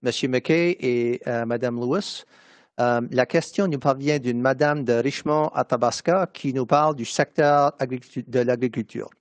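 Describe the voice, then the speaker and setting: A man moderating a debate formally, with brisk procedural announcements. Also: medium pitch, no echo.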